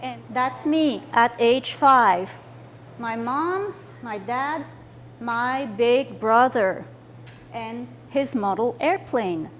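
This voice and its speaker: Older woman gently explaining to children. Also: fairly high pitch, lively intonation, extremely slow, slight background noise. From France. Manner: simple, patient explaining to kids. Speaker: children's author. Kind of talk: library talk to children with slides.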